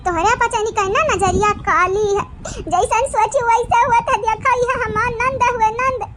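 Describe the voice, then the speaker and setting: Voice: very high voice, steady tone, a little slow, a bit bright, a little hiss or hum. A performer voicing a stubborn, quarrelsome village daughter-in-law in a comedy cartoon, scolding and taunting in an eastern Uttar Pradesh accent. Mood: aggrieved, taunting.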